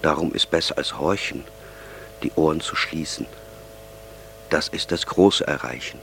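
An actor playing an earnest, solemn young man speaking deliberately in an audio drama. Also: rumbling low, vocal fry, a bit bright, a little hiss or hum, heavily acted.

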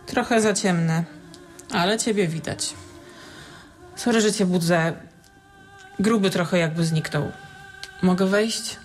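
A woman's voice: fairly low pitch, takes it slowly, pauses often, faint music behind. An actress in a radio drama playing a battered young woman, plain-spoken and weary. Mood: weary, hurt.

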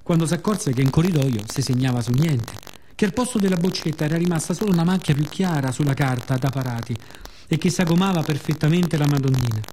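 A man delivers a scripted radio theatre monologue as a storyteller, in a measured, reflective, dramatic way with pauses. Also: a little high, expressive, a little mellow, slight background noise.

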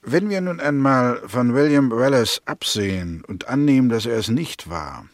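A man's voice: mid-range voice, animated, moderate pace, no room sound, studio quality, close to an everyday voice. An actor in a 1960s German radio play as a sober, persistent prosecutor, formal and methodical in his coolly probing delivery.

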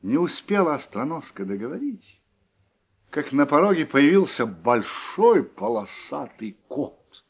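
Male storybook narrator, husky and lively. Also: a little high, a little slow. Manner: expressive, animated storytelling.

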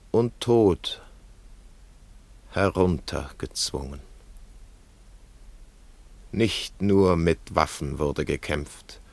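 A grave prophet in a poetic radio drama, speaks extremely slowly in a deep voice. He declaims with solemn emphasis, as if chanting to his people, in a dry studio sound. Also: no room sound. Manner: incantatory, deliberate, emphatic.

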